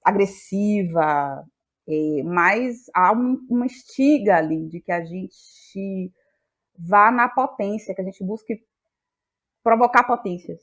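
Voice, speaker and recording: A woman's voice: mid-range voice, lively intonation, takes it slowly, a bit bright. Thoughtful Bahian woman, searching for words. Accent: Bahian Brazilian. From Bahia, Brazil. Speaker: guest, playwright and actress. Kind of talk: reflective conversational interview.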